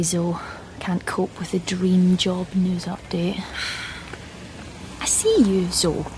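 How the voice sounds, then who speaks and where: Radio drama: woman playing shy young jobless dreamer, sardonic inner voice, unhurried delivery, some noise behind. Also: medium pitch, darkish tone, lightly acted, low-quality recording. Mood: awkwardly bright. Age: young adult. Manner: wry, self-mocking, hesitant aloud. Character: cripplingly shy, wry, unemployed young woman graduate, an aspiring comic artist. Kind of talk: radio play acting, confiding inner monologue.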